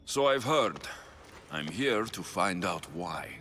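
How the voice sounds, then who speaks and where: An actor playing a blunt, determined Spartan mercenary in a video game, with a sardonic edge. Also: male voice, sits low, lively intonation, takes it slowly, pushed and bright, faint music behind, full character voice. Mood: stern, probing. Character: blunt, determined male Spartan mercenary searching for his mother.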